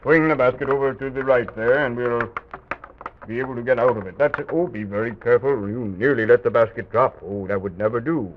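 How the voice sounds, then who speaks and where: A man playing a courteous, patient castle guide in armor for an old children's radio serial, speaking formally and with measured explanation. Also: mid-range voice, a little hiss or hum, close to an everyday voice. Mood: instructive. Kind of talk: 1920s-30s children's radio serial acting. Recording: tinny old radio recording.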